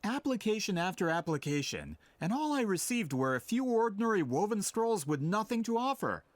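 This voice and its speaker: An actor playing a self-important, impatient male scholar and publisher in a video game, smooth-talking and insistent. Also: high voice, very animated, normal speed, dry recording. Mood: peeved.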